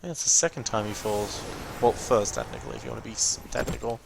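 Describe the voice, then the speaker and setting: A man's voice: medium pitch, quick pace, creaky, noisy background. Casual man musing over game cutscenes. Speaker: let's watch host. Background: game music behind the voice.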